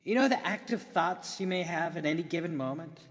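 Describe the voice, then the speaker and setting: A man playing an excited, theatrical keynote presenter from the future in an amateur radio drama, speaking emphatically with dramatic pauses. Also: on the high side, lightly acted. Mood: explaining, enthusiastic. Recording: long hall reverb.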